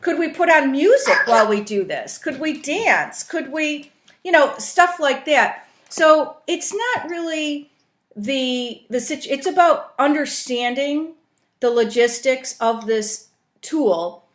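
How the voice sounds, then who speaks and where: High-voiced woman trainer in an echoey room. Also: expressive, takes it slowly. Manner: explanatory, often checks in with "right?" and "you know". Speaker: trainer, facilitator teaching a coaching tool. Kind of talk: informal instruction on a conference call. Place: conference call. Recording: roomy, echoing conference call audio.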